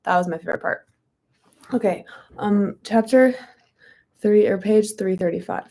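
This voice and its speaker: A young woman with a hoarse voice, chatting casually and reading a book aloud, with frequent ums and likes. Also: mid-range voice, moderate pace, dark tone.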